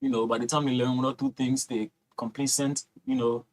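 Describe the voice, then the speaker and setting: A man's voice: mid-range voice, flat delivery, a little fast, poor audio. A man answering interview questions as a filmmaker, thoughtful and often saying "you know". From Liberia. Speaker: filmmaker guest.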